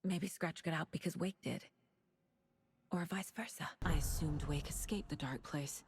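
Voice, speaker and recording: An actress playing a determined woman detective in a video game, thinking aloud in short, intense, measured sentences. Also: deep voice, slight background noise. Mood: focused, puzzled. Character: determined woman detective reasoning through a case. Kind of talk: dramatic game monologue.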